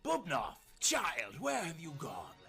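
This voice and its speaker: A mock-courteous storybook devil, acted out by a male narrator, speaks with formal flourish and polite menace. His pitch is raised and swings freely, over music. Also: huge pitch swings, slow pace, vocal fry, heavily acted. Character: suave, mock-courteous devil, doting grandfatherly fiend.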